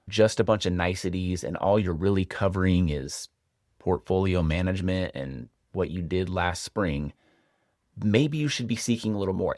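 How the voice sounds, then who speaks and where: An American man working as a financial advisor and show host, explaining things to viewers in a measured, reflective way with rhetorical questions. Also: sits low, no room sound, studio quality. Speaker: financial advisor hosting a retirement planning show. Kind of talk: explanatory advice talk to camera.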